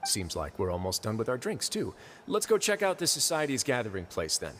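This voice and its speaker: An actor playing a composed, dry-witted duke in a fantasy game, measured and courteous. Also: male voice, medium pitch, huge pitch swings, fairly bright tone, a little hiss or hum. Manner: measured, courteous, dryly understated. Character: composed, dry-witted male duke who runs a prison. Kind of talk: scripted game dialogue.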